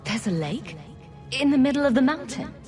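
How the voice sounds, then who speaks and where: Dry, sarcastic heroine, lively intonation. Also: female voice, high voice, moderate pace, soft background music. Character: dry-witted, sceptical heroine, adult woman adventurer. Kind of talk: video game character acting.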